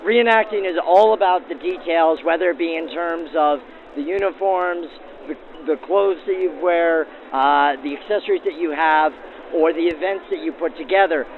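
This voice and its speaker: A man's voice: on the high side, normal speed, slight background noise. A man working as host and interviewer, presenting in a 1940s radio manner through an old-time radio filter. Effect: aged 1940s radio filter. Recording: narrow, lo-fi vintage radio sound with faint music.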